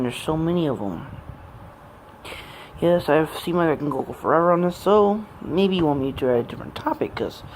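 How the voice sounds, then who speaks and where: A man in his late twenties rambling off the cuff in a personal gaming vlog, with halting, meandering and broken phrasing. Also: a little high, gravelly, background noise. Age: late 20s. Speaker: amateur gaming vlogger. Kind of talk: rambling unscripted personal monologue.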